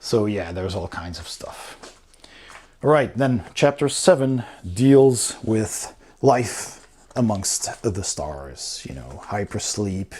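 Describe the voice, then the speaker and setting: A man's voice: fairly low pitch, expressive, slow pace, a little mellow, breathy edge. A man giving a casual, unscripted review as host, with an informal, enthusiastic way of talking. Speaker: board game reviewer, host.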